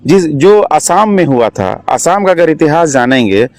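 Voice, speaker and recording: A man's voice: a little high, very animated, a little slow, a little mellow. Young man with a Jharkhand Hindi accent. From Jharkhand, India. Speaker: election candidate, political activist. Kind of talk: political interview answers.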